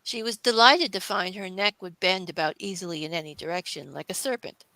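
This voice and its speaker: Bright-voiced woman narrating a storybook. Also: on the low side, a little fast, no room sound, low-quality recording.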